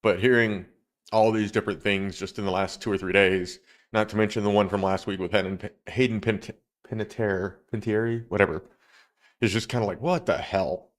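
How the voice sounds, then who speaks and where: A man hosting a live stream, speaking in a somewhat low voice. He chats casually, wanders off on side thoughts and speaks directly to the people watching. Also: fairly even intonation, moderate pace. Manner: conversational, rambling, talks to viewers directly.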